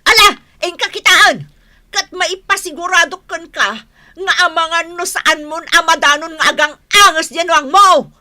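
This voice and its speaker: An exasperated farmer's wife with an Ilocano accent. Her voice is high and bright, and she nags dramatically in radio drama style. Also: normal speed, no echo, clean studio sound, exaggerated voice. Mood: furious scolding. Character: worried, nagging wife of a drunkard farmer.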